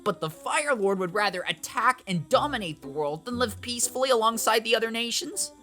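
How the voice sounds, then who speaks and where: A man voicing a witty teenage boy warrior in a fan-made animated comic dub, arguing in a mock-formal, persuasive way. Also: very high voice, brisk, soft background music, exaggerated voice.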